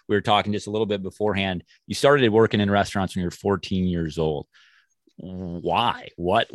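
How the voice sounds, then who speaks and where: Very deep-voiced male podcast host. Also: dry recording, clean studio sound. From Midwest. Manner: chatty, rambling questions with restarts. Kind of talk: casual interview chat.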